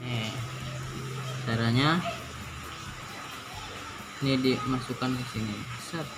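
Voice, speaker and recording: A man's voice: mid-range voice, slow pace, rough recording. A man giving a casual unboxing commentary, informal and thinking aloud as he goes. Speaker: product reviewer. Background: music.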